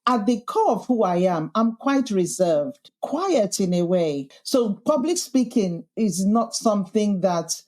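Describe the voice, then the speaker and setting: A woman's voice: medium pitch, very animated, a little slow, hardly any pauses, darkish tone. Earnest woman with a Yorkshire accent. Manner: earnest, thoughtful, with frequent restarts and emphatic lists. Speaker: podcast host being interviewed. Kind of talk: reflective conversational interview.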